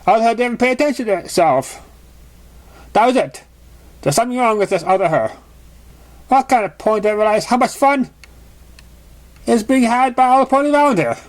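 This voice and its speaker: A high-voiced man reads fiction aloud as scripted narration. He is an amateur reader who trips over the occasional word and carries on with the story. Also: expressive, brisk, pauses often. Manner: stumbles over words while reading.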